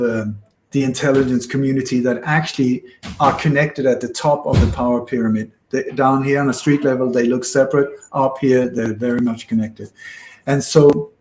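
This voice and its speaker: A man's voice: mid-range voice, a little mellow, slight background noise. A man from Denmark and Sweden with a Scandinavian accent, explaining at length in an interview and repeating phrases for emphasis. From born in Denmark, grew up in Sweden. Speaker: interview guest. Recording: echoey room sound.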